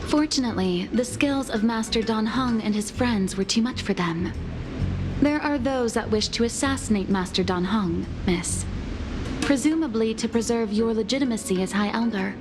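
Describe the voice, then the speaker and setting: A woman's voice: a little high, moderate pace, lightly acted. An actress voicing a dutiful, worried female attendant in a game, speaking politely and deferentially. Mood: concerned. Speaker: game character. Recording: game dub over loud music.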